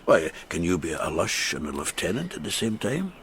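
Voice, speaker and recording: An actor in a radio drama playing a boisterous, teasing farmer who is drunk, slurring and halting as he repeats himself. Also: deep voice, wildly swooping pitch, slight background noise. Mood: jovial, tipsy.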